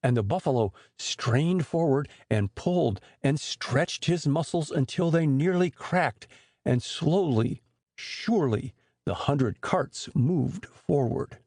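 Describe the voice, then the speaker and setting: A man narrates a storybook in a husky, slightly high voice. His delivery is expressive with lively pitch movement, in the manner of a scripted audiobook. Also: slow, no echo, studio quality.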